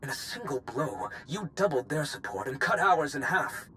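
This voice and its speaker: An actor playing an embittered, grieving ex-guard bent on revenge in a video game, solemn, brooding and intense. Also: male voice, medium pitch, big pitch swings, moderate pace, pushed and bright, slight background noise, poor audio. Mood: suspicious. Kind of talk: dramatic video game cutscene acting.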